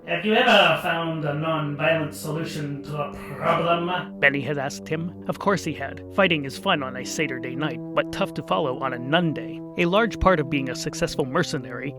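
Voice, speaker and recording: A man's voice: mid-range voice, creaky. A man narrating a comic fantasy audiobook in a wry, mock-epic storytelling style.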